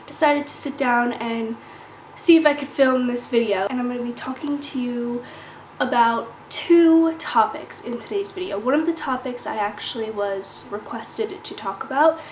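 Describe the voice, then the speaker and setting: A woman's voice: fairly high pitch, moderate pace. A woman vlogger sitting down to chat to camera, a little nasal from a cold, earnest and explaining things step by step. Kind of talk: casual sit-down talk to camera. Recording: echoey room with faint hiss.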